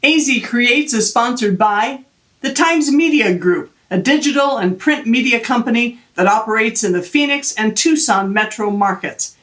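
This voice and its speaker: A woman hosting an arts web video series, reading scripted introductions and interviewing a guest in an even, clear delivery. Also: a little high, moderate pace. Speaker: host.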